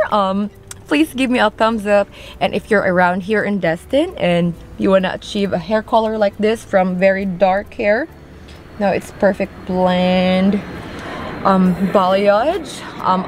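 A woman's voice: mid-range voice, slight background noise. A young woman chatting upbeat to her phone camera in a parked car, with many fillers. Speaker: vlogger. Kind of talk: casual vlog chat to camera. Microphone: phone held at arm's length.

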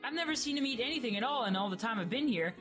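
Woman as a flippant, animated young heroine. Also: on the high side, rapid-fire, music in the background, lightly acted. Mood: casual, curious. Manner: casual, sardonic. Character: flippant, curious young female creature, dead soul. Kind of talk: radio play acting.

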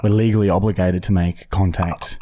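Australian male podcast host, thirties, low register, casual mock-official phone patter, halting and matey. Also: steady tone, mellow. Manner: sweary, matey, hesitant phrasing. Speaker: podcast host making prank calls. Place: indoor podcast studio. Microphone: boom-arm studio microphone with headphones.

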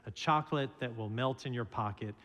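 A middle-aged man, a minister, preaching a sermon from the pulpit, telling stories in a slow and measured way. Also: mid-range voice, fairly bright tone, a little hiss or hum. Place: large church sanctuary, at a pulpit. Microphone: pulpit microphone. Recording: reverberant church hall.

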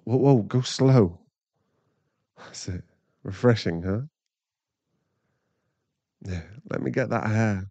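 A man playing a shaken, protective werewolf boyfriend in an ASMR roleplay, halting and rambling as he soothes his partner. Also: fairly low pitch, gravelly, dark tone, no room sound, dull audio, full character voice. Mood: tender concern.

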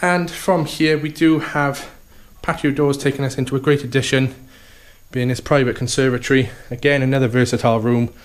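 A man's voice: medium pitch, fairly even intonation, a little fast. A man, an estate agent, giving an upbeat walkthrough tour of a house, full of sales patter and repeated "okay" and "fantastic".

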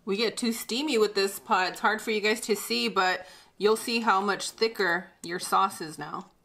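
A woman's voice: medium pitch, steady tone, moderate pace, fairly bright tone. Upbeat woman hosting a kitchen demo. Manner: upbeat, chatty, laughs often. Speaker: cooking show host. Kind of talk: casual cooking demonstration. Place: bright home kitchen indoors.

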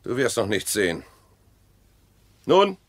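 An actor playing a defensive, distrustful husband in a bitter marriage, dry, pedantic and argumentative, in a radio play. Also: male voice, fairly low pitch, sing-song, slow, full character voice.

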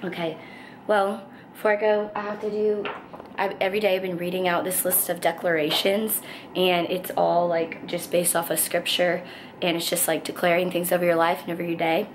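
Young woman, twenties, hoarse scratchy voice, casual chatty vlog, handheld camera, bedroom, faint background noise. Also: fairly low pitch, little pitch movement, moderate pace. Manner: bubbly, giggly, chatty. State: losing her voice, hoarse. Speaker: vlogger. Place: bedroom at home, indoors. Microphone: handheld camera at arm's length.

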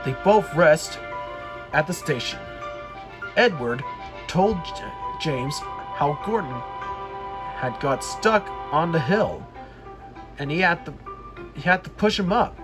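A man reading a children's story aloud, often haltingly, repeating and correcting phrases. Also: fairly high pitch, animated, music in the background. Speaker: storybook reader.

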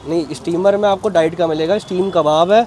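A man's voice: sits high, fairly even intonation, a little fast, a little mellow, a little hiss or hum. A young man running a street food stall, politely explaining his menu items and their protein counts in detail. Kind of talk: casual explaining to a food vlogger.

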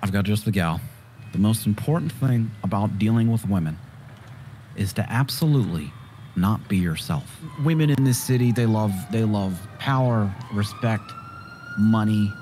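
A man voicing a confident, smooth-talking dating mentor in a game roleplay. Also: fairly low pitch, normal speed, mellow, slight background noise, lightly acted. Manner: coaching, confident.

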